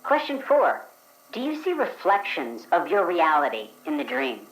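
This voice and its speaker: Woman voicing a questionnaire reader, procedural, lowish voice, animated pitch. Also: moderate pace, low-quality recording. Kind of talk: actual play, reading scripted questions.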